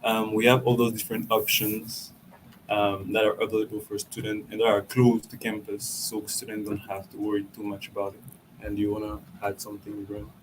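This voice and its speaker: A man's voice: lowish voice, completely flat delivery, a little fast, a little hiss or hum, low-quality recording. A young man from Cameroon, a university student, explaining off-campus housing in a friendly way, often correcting himself. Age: young adult. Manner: friendly, self-correcting, with ums. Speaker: student presenter.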